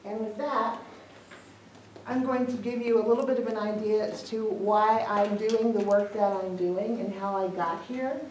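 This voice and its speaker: A middle-aged woman speaks calmly and earnestly, a death doula sharing her story at a panel. Her voice sits at an average pitch and is picked up from a distance, so it sounds roomy and hollow. A light hiss runs underneath. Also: moderate pace. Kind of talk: personal talk to an audience. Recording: echoey room, far from the mic, some noise.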